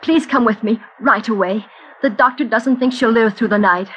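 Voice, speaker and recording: Actress as a high-voiced, anxious young cousin. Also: female voice, moderate pace, fairly bright tone, close to an everyday voice. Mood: anxious, urgent. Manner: earnest, polite. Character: gentle, anxious, dutiful young female cousin. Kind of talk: 1940s radio acting. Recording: dull, noisy old radio recording.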